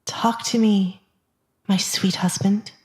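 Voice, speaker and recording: A woman playing a sharp-tongued, calculating wife who speaks insistently in an audio drama. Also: mid-range voice, fairly even intonation, slow pace, natural voice. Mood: concerned, coaxing. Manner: scolding, insistent.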